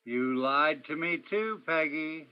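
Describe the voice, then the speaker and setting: A man's voice: a little high, animated, slow pace, smooth, no room sound, dull audio. A man playing a persistent, world-weary hard-boiled detective in a radio crime drama. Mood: pressing. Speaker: stage actor in a radio play. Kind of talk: old-time radio crime drama acting.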